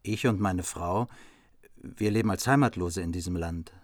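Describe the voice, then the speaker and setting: An actor playing a noble, generous margrave who speaks with dignified, courteous formality. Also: male voice, sits low, moderate pace, no room sound, studio quality, close to an everyday voice. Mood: courtly. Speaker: actor in radio drama. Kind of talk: formal radio play acting.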